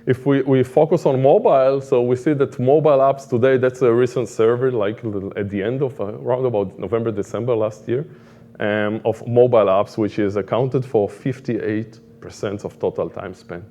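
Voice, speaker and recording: A man's voice: mid-range voice, fairly even intonation, mellow. A middle-aged Israeli man with an Israeli accent giving an informal conference talk, feeling his way through phrases with repeats and fillers. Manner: halting, repetitive phrasing with frequent fillers. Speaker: conference speaker, product management director.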